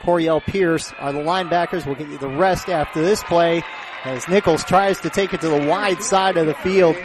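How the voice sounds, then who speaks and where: An American man doing live radio play-by-play of a football game, projecting his voice and getting excited on big plays. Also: high voice. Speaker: play-by-play announcer. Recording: live outdoor stadium broadcast, background noise.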